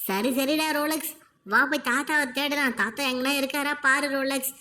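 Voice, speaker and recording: A high, bright young cartoon boy, anxious and easily exasperated, as a woman voices him. He scolds his friend in rapid, complaining bursts. The voice is sharp and light. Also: fairly even intonation, a little hiss or hum. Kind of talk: Tamil cartoon voice acting.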